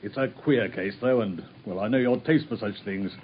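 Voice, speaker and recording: A man's voice: on the low side, normal speed, slight background noise, natural voice. An actor playing a harried, self-assured male police inspector in a radio drama, sounding bluff and a little defensive. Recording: dull old radio broadcast.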